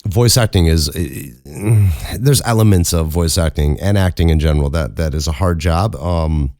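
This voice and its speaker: A young man hosting a casual Q and A, with a very low speaking voice, rambling and self-mocking and swearing casually. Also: normal speed, a little mellow, no room sound, clean studio sound. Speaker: podcast host and voice actor. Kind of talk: casual Q and A chat.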